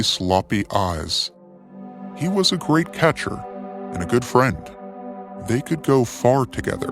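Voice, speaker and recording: A man reading a scripted adventure story in a theatrical, suspenseful way, as its narrator. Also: lowish voice. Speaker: storyteller, narrator of a fiction series. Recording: music bed under the voice. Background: music.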